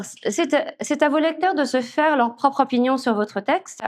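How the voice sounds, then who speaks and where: Reflective woman in her fifties. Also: a little high. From Switzerland. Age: 50s. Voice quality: reflective, with frequent pauses. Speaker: author at a literary talk. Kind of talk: conversational answers at a public talk. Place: festival conference room.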